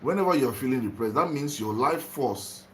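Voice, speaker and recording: A young man from Nigeria who has just woken up, livestreaming about spirituality in a slow, repetitive way and greeting viewers by name. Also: medium pitch, lively intonation, slight background noise. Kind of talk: casual livestream talk on spirituality.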